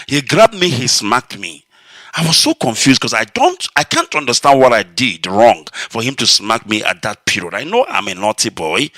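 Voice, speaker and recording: A man, an Igbo speaker, telling a personal story on a live broadcast in an animated, emotional way. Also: no echo. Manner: animated, emotional, swinging high when excited. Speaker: radio broadcast host.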